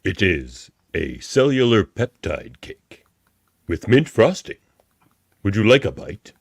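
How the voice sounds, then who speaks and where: A man puts on a gruff warrior alien for a radio play. The voice is low and husky, drawn out slowly and relished. It sounds close and dry. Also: sing-song, no room sound, full character voice. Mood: gruff, savouring. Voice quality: gravelly, husky. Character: gruff Klingon warrior savouring food in a dream. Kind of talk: amateur radio play live read.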